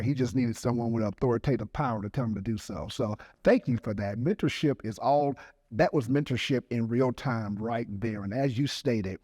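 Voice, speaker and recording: A man's voice: medium pitch, warm tone, no room sound, studio quality. A man hosting a podcast interview, speaking with emphasis and enthusiasm. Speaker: podcast host.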